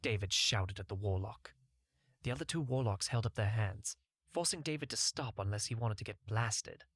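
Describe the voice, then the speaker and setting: A man's voice: lowish voice, moderate pace, a bit bright, slightly rough, no echo. A man narrating a first-person fantasy audiobook in a scripted storytelling style.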